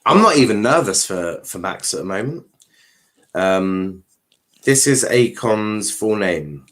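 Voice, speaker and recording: A man's voice: on the low side, big pitch swings, slow pace, a bit bright. A man hosting a livestream watchalong, giving casual race commentary with informal, sweary, joking asides.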